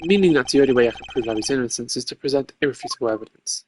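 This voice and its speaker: A man voicing a composed, haughty video game prosecutor who reasons in a formal, measured, exasperated way over music. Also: medium pitch, a little hiss or hum, natural voice. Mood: grave reasoning. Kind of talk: video game character dub. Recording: music under the voice.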